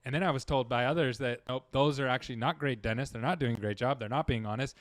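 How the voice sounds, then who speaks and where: A man's voice: medium pitch, quick pace, no room sound, clean studio sound. A man, a dental marketing guest in a casual interview, talking earnestly with many restarts. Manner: earnest, rambling, full of restarts.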